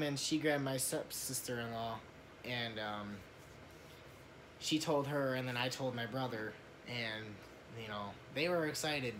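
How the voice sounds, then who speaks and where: A young man casually telling a personal story to camera in a conversational way, often repeating his words as he goes. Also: medium pitch. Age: young adult. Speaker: family vlogger. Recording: noisy home recording.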